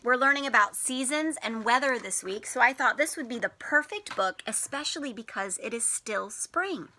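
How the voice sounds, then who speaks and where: High, bright, lively woman reading to children. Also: moderate pace, a little hiss or hum. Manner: sing-song, expressive, questioning young listeners. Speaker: teacher and storytime host. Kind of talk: children's picture-book read-aloud.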